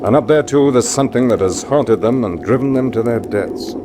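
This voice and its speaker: An actor playing a bold, eager baronet heir in a radio drama, hearty, emphatic and plain-spoken. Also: male voice, medium pitch, a little fast, natural voice. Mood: awed. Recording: noisy background ambience.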